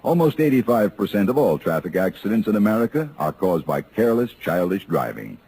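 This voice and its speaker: A man's voice: fairly low pitch, big pitch swings, brisk, vocal fry, a little mellow. A man reading a scripted public service announcement in an earnest, formal, emphatic manner. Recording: vintage radio recording with faint noise.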